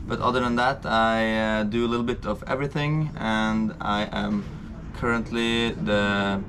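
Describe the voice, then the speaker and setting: A man's voice: lowish voice, little pitch movement, a little slow, noisy background. A twenty-one-year-old man from Norway introducing himself as a crew member with dry humor. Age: 20s.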